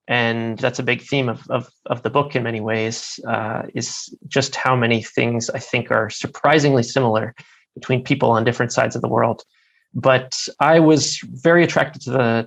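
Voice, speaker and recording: A man's voice: mid-range voice, gravelly. A man in his thirties from Maryland, a guest author talking thoughtfully in a conversation, with frequent fillers and repeated words. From United States (Maryland). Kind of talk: interview conversation.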